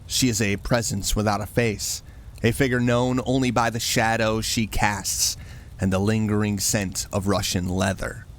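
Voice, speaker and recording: A man hosting with a scripted, campfire-style introduction to a horror story, told in a measured, mysterious way. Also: lowish voice, little pitch movement. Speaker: host.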